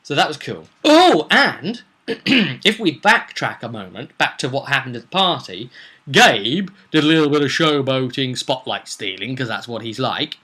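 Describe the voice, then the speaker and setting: A man informally recapping a tabletop roleplay session as game master, rambling and animated as he tells the story. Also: medium pitch, moderate pace, breathy edge. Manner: rambling, animated, acts out quotes.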